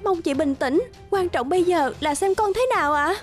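A woman voicing a timid, anxious young kindergarten teacher in an animated drama, deferential and pleading. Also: very high voice, normal speed, faint music behind.

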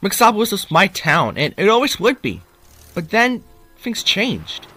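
A young cartoon creature voiced by a man tells of his town with wistful, theatrical flair. The voice is fairly high and very animated, over faint music. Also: unhurried, heavily acted. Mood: nostalgic, proud. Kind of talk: cartoon fandub narration.

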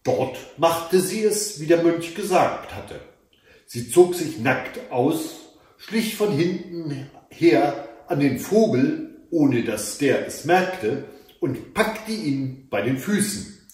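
A man in his fifties reading a fairy tale aloud in a measured, dramatic way with deliberate pauses. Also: a little high, animated, darkish tone. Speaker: storyteller reading fairy tales. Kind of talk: expressive read-aloud fairy tale narration. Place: indoors, book-lined room at home. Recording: echoey room, mic at a distance.

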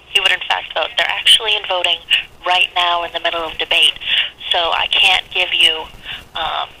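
A woman working as a congressional office staffer, answering a phone politely in a halting, formal manner. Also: fairly low pitch, bright, forceful tone, husky. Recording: telephone line audio.

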